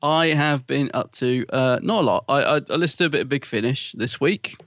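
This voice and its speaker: A man's voice: mid-range voice, fairly quick, a bit bright, no room sound, muffled recording. A man co-hosting a podcast in casual chat, chatty and rambling with fillers, laughing often. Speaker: podcast co-host.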